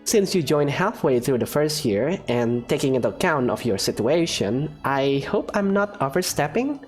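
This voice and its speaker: A woman's voice: extremely low pitch, very animated, normal speed, darkish tone, soft background music. A woman voicing a prim, studious young female student who speaks formally and precisely.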